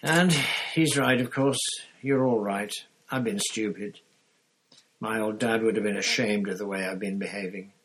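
A man reading aloud and voicing a huge, bearded half-giant who speaks hoarsely and croakily, close to tears. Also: lowish voice, normal speed, a bit bright, slight background noise, natural voice, poor audio. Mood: choked up, tearful.

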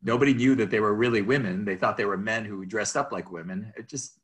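A man's voice: lowish voice, quick pace, fairly bright tone. A middle-aged man from Minnesota who grew up speaking Greek, chatting as an interview guest, rambling with restarts and chuckles. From Minnesota, USA. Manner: rambling, restarts, chuckles while telling stories. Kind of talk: casual interview chat.

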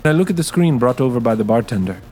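A man's voice: medium pitch, expressive, fast talker, mellow, faint music behind. A man narrating first-person audio fiction in character as a wary, streetwise ex-con. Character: wary, streetwise male ex-con in a tech dystopia. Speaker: narrator of a dramatized story, in character.

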